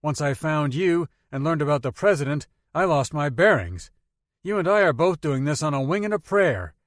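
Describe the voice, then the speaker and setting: A man's voice: on the high side, animated, no echo, studio quality, voice pushed up, close to an everyday voice. A man voicing a tough, decisive adult woman who talks bluntly and a bit sarcastically, in an audiobook reading. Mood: dry. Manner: brisk, no-nonsense, a little sarcastic.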